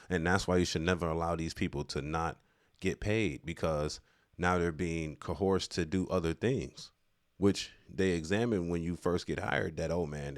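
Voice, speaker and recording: A man with a low-pitched voice talks off the cuff, giving his opinions in loose, slangy speech. He repeats phrases to press his point and speaks emphatically. The sound is dry, with hardly any room echo. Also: darkish tone. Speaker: commentator on current affairs. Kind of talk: casual unscripted opinion commentary.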